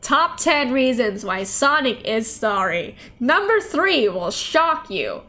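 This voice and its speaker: A woman's voice: a little high, very animated, takes it slowly, sharp tone. A woman with a nasal voice live streaming a game, chatting playfully and reading visual novel dialogue aloud. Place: indoors at a desk, on webcam. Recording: clear background noise.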